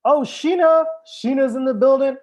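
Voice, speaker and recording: Man, high-pitched, sommelier host, relaxed live-stream banter, jokey, casual swearing. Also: normal speed. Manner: laid-back, joking, swears casually; chats with viewers, answers comments.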